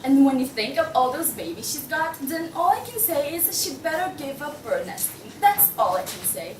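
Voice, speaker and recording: A woman's voice: high voice, very animated, normal speed. A young woman in a play table read, playing a gossiping, indignant wife with a chatty, theatrical manner. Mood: gossipy, scandalized. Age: young adult. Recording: roomy, distant mic, faint noise.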